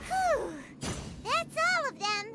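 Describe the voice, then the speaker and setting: A woman voicing a small, cheerful, childlike companion creature in an anime-style game, excitable and earnest, with a squeaky voice. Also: slow pace, slight background noise, full character voice. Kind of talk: English game voice acting.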